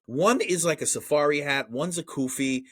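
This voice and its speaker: A man's voice: on the high side, normal speed, no room sound, clean studio sound. A man with a Brooklyn accent co-hosting a podcast, chatting casually and profanely about an old movie and reading trivia aloud. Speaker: podcast co-host. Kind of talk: casual movie review chat.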